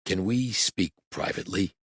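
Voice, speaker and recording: A man voicing a huge, blunt federal agent who speaks quietly and matter-of-factly. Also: sits low, normal speed, husky, dry recording, studio quality. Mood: low-key. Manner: quiet, terse, matter-of-fact.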